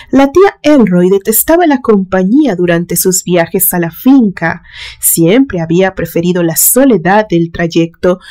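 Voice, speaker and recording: A woman reading a literary suspense story aloud in a measured, dramatic storytelling manner. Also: mid-range voice, mellow. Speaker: narrator reading a horror story.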